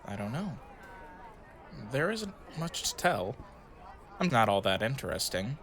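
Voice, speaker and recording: A man's voice: mid-range voice, big pitch swings, unhurried, fairly bright tone, a faint crowd, a little hiss or hum. A man acting in an audio drama as a reflective storyteller and his shy, self-conscious younger self. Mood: shy, halting. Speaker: narrator and lead actor telling his own story. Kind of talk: audio drama narration and acted dialogue.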